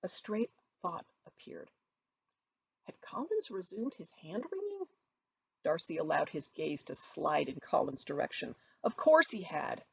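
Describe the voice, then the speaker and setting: A woman author reading her own novel aloud in an expressive storytelling narration. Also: fairly low pitch, unhurried, creaky, a little hiss or hum.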